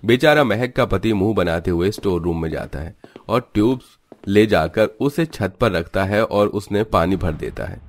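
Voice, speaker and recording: A man's voice: deep voice, dry recording. A man narrating a scripted cartoon story in a dramatic storybook manner.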